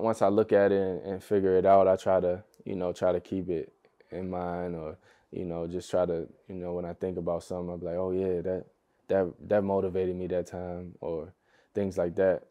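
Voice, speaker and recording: A young American man talking in a relaxed, easygoing way, with a lowish, mellow, soft-edged voice. His speech is loose, full of fillers, and he laughs often. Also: moderate pace. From United States. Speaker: basketball player, podcast guest. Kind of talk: relaxed casual interview chat.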